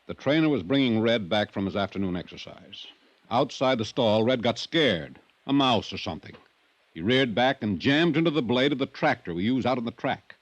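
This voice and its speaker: A man's voice: medium pitch, expressive, normal speed, no echo, natural voice. An actor playing a middle-aged American ranch owner, a curt, irritable, combative father, in a 1950s radio drama. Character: gruff, defensive, hot-tempered ranch owner and stern father. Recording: dull, dry vintage radio recording.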